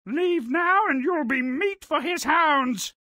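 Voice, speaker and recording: A woman's voice: high-pitched, expressive, slow, bright, forceful tone, no room sound, studio quality, heavily acted. A woman voicing a haughty, cunning giant's wife, theatrical and scolding, in a cartoonish game. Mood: furious. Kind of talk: cartoonish video game acting.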